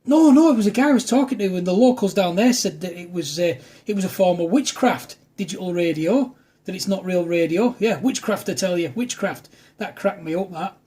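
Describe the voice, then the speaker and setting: Man, amateur radio operator, high raspy voice, informal and matey casual chat. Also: a little fast, dark tone.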